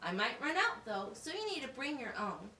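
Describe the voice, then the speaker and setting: High, lively woman teaching in a roomy space. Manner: instructive, simple wording with deliberate enunciation. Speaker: puppeteer playing a teacher. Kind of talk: slow, scripted classroom puppet show. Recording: roomy, distant mic with faint noise.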